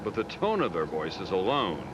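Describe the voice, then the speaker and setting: A man reading scripted news documentary narration in a measured, dramatic way. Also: sits low, wildly swooping pitch. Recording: dull audio with faint background noise.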